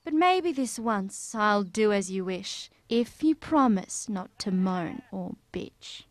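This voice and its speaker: A woman's voice: mid-range voice, animated, slow pace, dry recording. A woman voicing a sweet-seeming holy woman who is really a child-eating demon, in a rhyming storybook film, sugary on the surface and sly underneath. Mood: exasperated. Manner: sugary then exasperated and sly.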